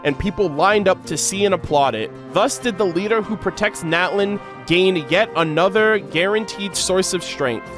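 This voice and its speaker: A man hosting a reaction video, reading game lore aloud in an expressive way with casual, slangy asides. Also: sits high.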